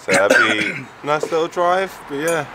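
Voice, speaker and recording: A young man in a band giving relaxed, wry tour updates to a handheld camera. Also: fairly high pitch, moderate pace, very noisy. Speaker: band member. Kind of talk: casual video diary. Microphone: wide-angle handheld camera. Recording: music playing over the voice.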